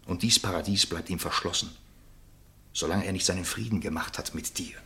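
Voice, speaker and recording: A very deep, bright-voiced, urging gentleman. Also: a little fast. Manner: earnest, persuasive, articulate. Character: concerned, urging gentleman confidant, worldly and wry. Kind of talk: German literary radio play acting.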